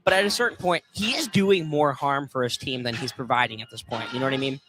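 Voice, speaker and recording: A man's voice: medium pitch, fast talker, a bit bright, soft background music. A man analysing a live basketball game on radio, explaining plays in a conversational, tentative way. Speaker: color commentator for basketball. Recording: noisy live gym broadcast.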